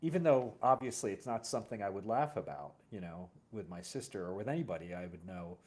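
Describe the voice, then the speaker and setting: Low-voiced, reflective man chatting. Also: expressive, a little mellow. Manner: halting, reflective storytelling. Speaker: host. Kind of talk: casual, personal conversation.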